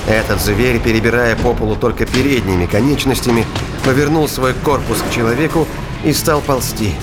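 Male sci-fi thriller narrator over loud music. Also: mid-range voice, a little fast. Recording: loud music and effects under voice. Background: music with sound effects.

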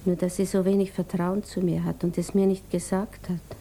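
An actress playing a shy, sensitive provincial widow in a radio play, reserved and introspective. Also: female voice, fairly low pitch, level tone, normal speed, warm tone, a little hiss or hum, lightly acted. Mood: wistful, hurt. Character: shy, sensitive provincial young widow, wistful and easily hurt. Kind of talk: German radio play acting.

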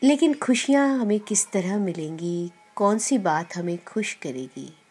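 A woman podcast host reading a short story in a measured, deliberate storyteller's delivery. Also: medium pitch, lively intonation, darkish tone, husky. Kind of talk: scripted storytelling narration. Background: background music.

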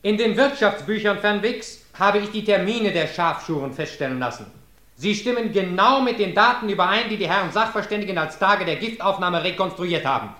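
An actor playing a sharp, determined defense attorney in a 1950s radio drama, talking urgently and insistently. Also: male voice, sits high, animated, brisk. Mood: formal, triumphant. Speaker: defense lawyer. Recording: roomy old radio play recording.

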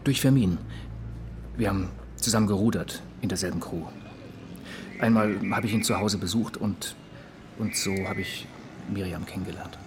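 A man playing a jilted lover under questioning in a radio crime play. His voice is fairly low, and he speaks haltingly and reflectively, with bitterness underneath. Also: noisy background, natural voice. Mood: hesitant. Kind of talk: German radio crime drama acting.

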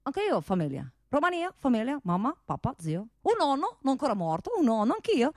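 A woman voicing a meek, pleading Romanian immigrant factory worker who speaks in halting, simple phrases. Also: high-pitched, wildly swooping pitch, dry recording, studio quality.

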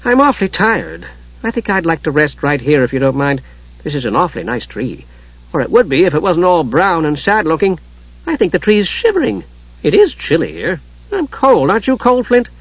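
A man's voice: fairly high pitch, very animated, normal speed, slightly rough, no echo, muffled recording, pitched above the performer's own voice, full character voice. A man voicing a small, innocent, chatty adventurer in an audiobook, rambling on blithely and unbothered. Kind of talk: audiobook character voice.

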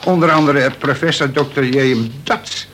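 An actor playing a wily, mock-deferential veteran convict in a Dutch radio play, reciting pedantically with bits of prison slang. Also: male voice, medium pitch, fairly even intonation, normal speed, noisy background, lightly acted.

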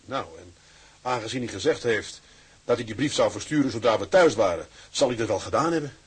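An actor playing a plain-spoken young sailor in a radio drama, husky, colloquial and hearty. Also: male voice, mid-range voice, expressive, a little fast. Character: hearty, plain-spoken young Dutch seaman and loving husband. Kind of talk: Dutch radio drama acting.

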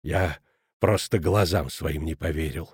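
A man's voice: low voice, sing-song, extremely slow, a little mellow, husky, no echo, studio quality, voice pushed down, full character voice. A man voicing an outraged, preachy school headmaster in an audiobook, scolding with indignant emphasis. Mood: shocked reproach.

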